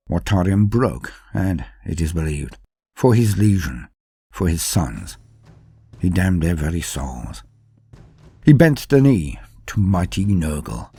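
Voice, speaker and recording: Deep-voiced man narrating dramatically over music. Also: warm tone, slightly rough, dry recording. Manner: grandiose, theatrical storytelling. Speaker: narrator and presenter of a lore channel. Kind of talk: dramatic scripted lore narration.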